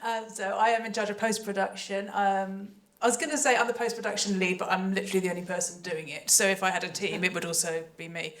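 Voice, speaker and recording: A woman's voice: medium pitch, flat delivery, fast, echoey room. A woman speaking casually and self-deprecatingly on a live panel as a sound designer. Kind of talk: casual self-introduction to an audience.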